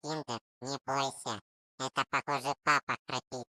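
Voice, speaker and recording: A woman's voice: extremely low pitch, fairly even intonation, moderate pace, bright, forceful tone, no room sound. A woman voicing a chatty, encouraging mother pig in a children's cartoon story, with a motherly, exclaiming manner. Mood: reassuring.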